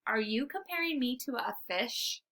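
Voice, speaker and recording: A woman's voice: a little high, lively intonation, normal speed, pushed and bright. A big, haughty female dog from a storybook, played by a woman reader. She is skeptical and sarcastic, turning growly when offended, with sharply swooping intonation.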